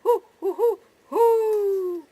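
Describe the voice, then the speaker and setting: A woman voicing a great horned owl's call in a storybook, with repeated drawn-out hoots. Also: very high voice, dry recording, pitched above the performer's own voice, exaggerated voice. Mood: loud calling. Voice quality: hooting, drawn-out calls. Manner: repeated loud, evenly sounded hoots. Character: great horned owl call, loud hooting in the night woods. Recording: noticeable background noise.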